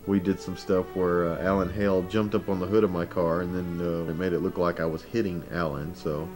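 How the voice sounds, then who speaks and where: A deep-voiced man reminisces casually in an interview, pausing and hesitating as he goes. Music is clearly audible behind him. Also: flat delivery. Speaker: interviewee, former amateur filmmaker. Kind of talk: casual recollection.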